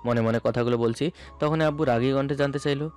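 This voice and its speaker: A man's voice: mid-range voice, steady tone, quick pace, darkish tone. A man narrating a romantic story in the first person, in a dramatic storytelling style. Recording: music bed under the voice. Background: background music.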